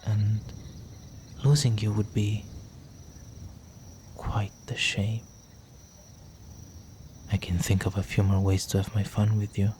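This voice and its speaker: Low, slow, seductive vampire gentleman, acted by a man. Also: little pitch movement, slight background noise. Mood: charming, teasing. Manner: seductive, courtly, teasing, with breathy murmurs. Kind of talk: intimate roleplay audio drama.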